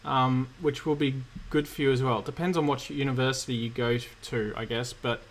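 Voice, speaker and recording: A man, slightly croaky from illness, hosting a relaxed live-stream Q&A and answering chat questions with many fillers. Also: medium pitch, moderate pace, slight background noise. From Australia. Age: 30s. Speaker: cybersecurity streamer host.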